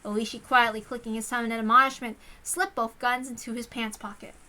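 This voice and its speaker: A woman's voice: fairly high pitch, little pitch movement, brisk, sharp tone. A woman reading a first-person story aloud as a dramatic narration. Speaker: visual novel reader.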